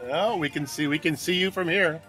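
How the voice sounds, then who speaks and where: Male gamer, bright and forward voice, on the high side, chatty. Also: faint music behind. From Canada. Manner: asks questions, quick to laugh. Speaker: co-player on stream. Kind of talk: casual voice chat.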